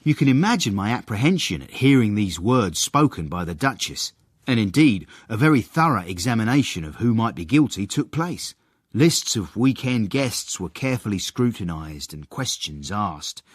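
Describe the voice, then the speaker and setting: A man's voice: on the low side, big pitch swings, normal speed, slightly rough, no room sound, studio quality. An actor playing a wry, deferential English footman and secret author, narrating and acting in a radio comedy-drama. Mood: apprehensive narration.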